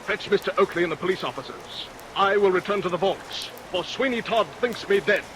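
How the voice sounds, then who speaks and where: A man acts out an earnest, righteous foe of a killer in an old radio melodrama. His high voice declaims formally through clear rain noise on a vintage recording. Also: brisk, natural voice. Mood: resolute, grave. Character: righteous, horrified male adversary of a murderer.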